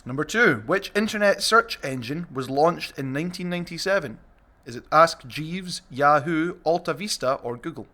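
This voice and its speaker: A man's voice: on the high side, expressive, normal speed, sharp tone. A man hosting a casual group podcast chat and reading out quiz questions as quizmaster.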